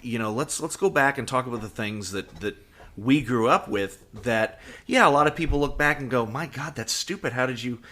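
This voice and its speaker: A man in his fifties co-hosting a podcast, chatting casually and reminiscing in a halting way, repeating phrases as he goes. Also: mid-range voice, bright, forceful tone. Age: 50s. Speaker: podcast co-host. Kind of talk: casual reminiscing fan chat.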